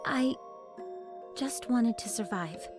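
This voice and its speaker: A female squad leader in an anime game. She is high-pitched, earnest and full of hopeful resolve, speaking with a slightly formal polish over prominent background music. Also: slow pace. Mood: wistful. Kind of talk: scripted anime game voice acting.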